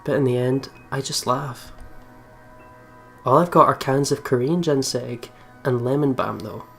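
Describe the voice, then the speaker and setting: Medium-pitched gamer reading a story aloud, a man. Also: unhurried, creaky. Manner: giggly, stumbles while reading. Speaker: let's play host. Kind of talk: reading a visual novel aloud with casual commentary. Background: faint game music.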